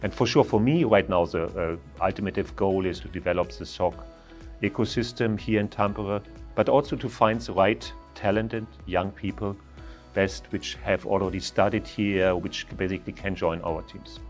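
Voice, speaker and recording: A middle-aged man speaking in an interview in a bright lounge, thoughtful and dropping "you know" now and then. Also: low-pitched, steady tone, normal speed, highs cut off, soft background music. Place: bright lounge with plants, indoors.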